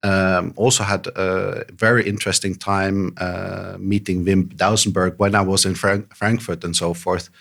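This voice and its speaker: A middle-aged man with a deep, gravelly voice answers interview questions in a conversational way. He stumbles through restarts and fillers and laughs often, and there is a bright, forceful edge to his tone. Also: level tone, a little slow, hardly any pauses. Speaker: podcast guest.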